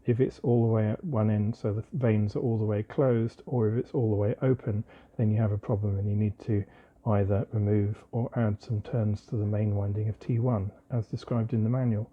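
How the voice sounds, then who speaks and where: A man presenting an informal hands-on technical tutorial, explaining methodically in measured phrases with short pauses. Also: fairly low pitch, phone-quality sound.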